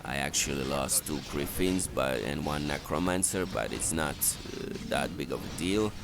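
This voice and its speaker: Very deep, gravelly man giving game tips. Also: over music. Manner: halting, instructional, matter-of-fact. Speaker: game walkthrough commentator. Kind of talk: unscripted video game strategy commentary.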